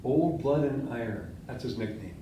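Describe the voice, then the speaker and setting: An older man, a history professor born in Latvia with Latvian as his first language, giving a classroom lecture and quizzing his students, talking with lively gestures. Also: medium pitch, moderate pace, a little hiss or hum. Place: seminar room with whiteboard. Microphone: far camera. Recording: echoey classroom from far off.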